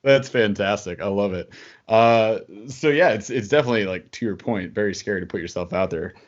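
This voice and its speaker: A man hosting a casual livestream podcast, enthusiastic and quick to laugh, reading out viewer super chats over a call. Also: on the low side, dull audio. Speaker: podcast host.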